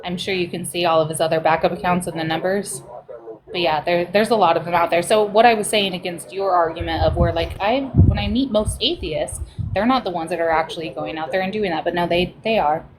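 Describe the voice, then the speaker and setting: A woman's voice: on the low side, brisk, a crowd in the background, poor audio. A woman chatting casually as a guest on a livestream, insistent and opinionated. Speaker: live stream guest. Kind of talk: casual chat. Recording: clear background noise on livestream audio.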